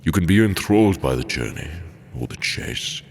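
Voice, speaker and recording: An extremely deep, cavernous, all-knowing entity. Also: male voice, lively intonation, gravelly, exaggerated voice. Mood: wise, probing. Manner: solemn, measured, philosophical. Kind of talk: audio drama acting. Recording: cavernous long reverb, faint music behind.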